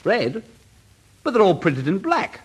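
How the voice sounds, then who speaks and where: A man's voice: sits high, wildly swooping pitch, measured pace, heavily acted. A man playing a feckless gambling nephew in a radio comedy, eager and impatient, with stammering restarts and a British accent.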